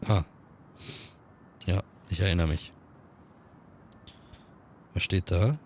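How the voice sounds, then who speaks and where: A man with a cold, chatting quickly through casual gameplay commentary and reading on-screen text aloud. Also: sits low, darkish tone, a little hiss or hum. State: has a cold. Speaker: let's-play gamer.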